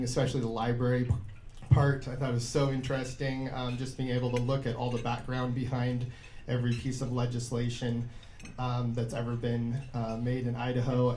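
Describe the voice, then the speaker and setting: Male American panelist, soft-edged mellow tone, little pitch movement, earnest and halting. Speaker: newly elected state senator, panelist. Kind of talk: informal panel answers. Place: indoor event room. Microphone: shared event microphone. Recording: echoey room, faint background noise.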